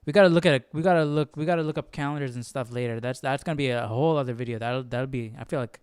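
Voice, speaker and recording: Man, livestream host, crisp voice, close dry sound, casual, tentative, thinking out loud. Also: medium pitch, very fast, no room sound. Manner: tentative, self-questioning, often tags sentences with "right?". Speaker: host of a Bible study livestream. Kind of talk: casual, thinking aloud while studying.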